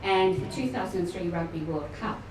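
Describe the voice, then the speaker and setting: A woman's voice: lowish voice, brisk. Woman giving a welcome speech. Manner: warm, chatty asides and small laughs. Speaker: professor welcoming guests at a luncheon. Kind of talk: formal welcome speech with asides. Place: function room at a luncheon event. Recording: echoey room, distant mic, noisy.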